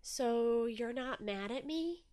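A woman's voice: high voice, wildly swooping pitch, extremely slow, pitched above the performer's own voice, heavily acted. A woman voicing an anxious, sensitive young male octopus in a children's story, speaking nervously and apprehensively. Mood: nervous, hesitant.

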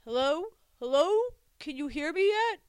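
A woman's voice: a little high, lively intonation, close to an everyday voice. A woman voicing a male grown-up tooth in a children's story, upbeat, matter-of-fact and reassuring, talking down kindly to a little one. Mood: eager, cheerful. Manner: upbeat, brisk, patronizing toward the little one. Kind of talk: acted children's story dialogue.

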